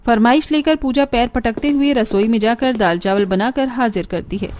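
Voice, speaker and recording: A woman's voice: fairly high pitch, normal speed, darkish tone. A woman narrator reading a scripted family story for a cartoon video.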